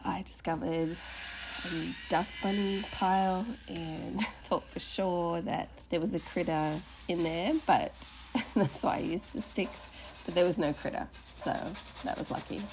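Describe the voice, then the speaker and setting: An Australian woman talks through her work in a relaxed, chatty way, often breaking into a small laugh. Her voice sits on the low side. A faint background hum sits under the recording. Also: gravelly. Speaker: furniture flipping creator. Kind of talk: casual voice-over narration.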